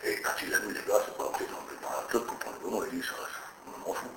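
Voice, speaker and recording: An older man from Brittany, recalling his past in a halting, rambling way, repeating words as he searches for them. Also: low-pitched, fairly even intonation, fairly bright tone, echoey room, a little hiss or hum, poor audio. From Brittany, France. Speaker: interviewee. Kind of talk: oral history interview.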